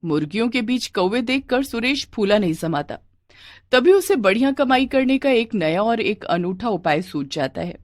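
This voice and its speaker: A woman's voice: a little high, lively intonation, normal speed, no echo, clean studio sound. A woman narrating a scripted moral tale as a storyteller.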